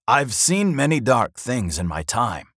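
A man voicing a gruff, battle-worn, earthy dwarf warrior in a fantasy audiobook, growling and folksy. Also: mid-range voice, sing-song, a little slow, a bit bright, no room sound, studio quality, pitched above the performer's own voice, exaggerated voice. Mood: grave, warning. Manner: folksy, says lassie and laddie.

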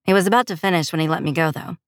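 A woman's voice: deep voice, racing, dry recording, clean studio sound. A woman voicing a helpful, easygoing female nurse who chats with a friend in an audiobook. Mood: chatty.

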